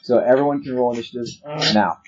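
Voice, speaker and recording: A man with a husky voice narrating a tabletop game as game master, explaining things with hesitant pauses. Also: medium pitch, a little hiss or hum. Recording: muffled lo-fi recording.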